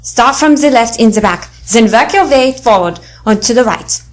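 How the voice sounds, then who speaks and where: A woman's voice: fairly high pitch, animated, normal speed, natural voice. A woman playing a proud young girl adventurer in an audio drama, firm and formal in her speech. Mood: commanding. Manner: firm, formal, without contractions.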